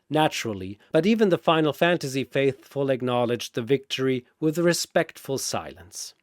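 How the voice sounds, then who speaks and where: A man's voice: medium pitch, no room sound, clean studio sound. A man giving dramatic scripted narration of a fantasy battle, theatrical and emphatic, as narrator and announcer.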